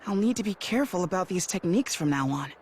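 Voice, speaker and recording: A man's voice: high voice, expressive, quick pace, husky. An actor playing an earnest teenage boy blacksmith and protective brother in a video game, sincere and a little hesitant. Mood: surprised, thoughtful.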